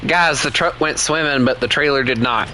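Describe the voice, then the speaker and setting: A man's voice: mid-range voice, normal speed, a little hiss or hum. A man with a Southern US accent, casually commenting to viewers while playing a truck game. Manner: relaxed, chatty, talks to viewers as he plays. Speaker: game streamer.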